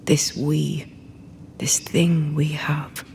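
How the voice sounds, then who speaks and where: An actress playing a solemn ghost woman, a slain ghost-hunter, in a video game cutscene, speaking gravely and often dropping to a hush. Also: sits low, flat delivery, drawn-out words, a little hiss or hum, full character voice. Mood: sorrowful, resolved.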